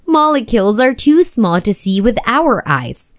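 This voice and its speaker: A woman's voice: on the high side, big pitch swings, a little slow, no room sound, studio quality. A woman voicing an encouraging female schoolteacher in a storybook, explaining things in an instructive manner.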